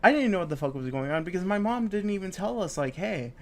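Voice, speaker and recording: A man's voice: high voice, expressive, quick pace. Young male podcast co-host, stuffy with a cold, loose rambling delivery with faint background noise. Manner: rambling, heavy swearing, many fillers. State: sniffly from a cold. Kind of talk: casual chat. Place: home studio, live-streamed.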